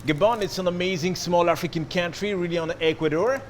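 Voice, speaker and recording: A man's voice: high-pitched, a little fast. A man from France with a French accent, speaking as a chef giving a casual cooking demonstration in a kitchen. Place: restaurant-style kitchen. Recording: noisy kitchen ambience.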